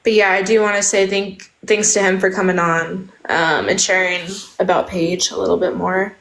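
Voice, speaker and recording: A woman's voice: mid-range voice, fairly even intonation, moderate pace, creaky, fairly bright tone, poor audio. Polite woman asking a question. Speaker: live stream participant. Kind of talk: casual live stream talk.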